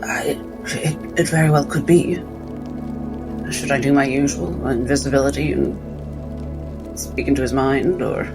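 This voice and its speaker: A man playing a tabletop roleplaying game casually, talking in a hesitant, halting way as he improvises. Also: mid-range voice, fairly even intonation, vocal fry. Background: music under the voice.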